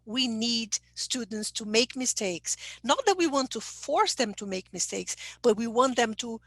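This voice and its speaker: A woman's voice: on the high side, animated, a little slow, fairly bright tone, no echo. A woman giving a webinar lecture, explaining step by step and checking in with "okay?" and "right?". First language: Portuguese. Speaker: teacher trainer giving a webinar.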